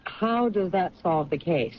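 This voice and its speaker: Sharp police lieutenant, matter-of-fact questions, muffled old radio sound, faint hiss. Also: female voice, fairly low pitch, animated, unhurried. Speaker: detective lieutenant. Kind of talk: 1970s radio drama acting. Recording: dull lo-fi 1970s radio recording.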